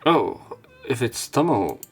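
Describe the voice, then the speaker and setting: A man's voice: mid-range voice, big pitch swings, slow, breathy edge, faint music behind. A man doing let's play commentary, reading visual novel narration aloud with opinionated asides. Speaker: let's player.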